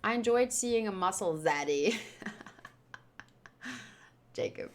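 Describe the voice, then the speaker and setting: A woman livestreamer chatting casually to her viewers in a playful, teasing and animated way. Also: medium pitch, takes it slowly, a little hiss or hum. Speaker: streamer hosting a game playthrough.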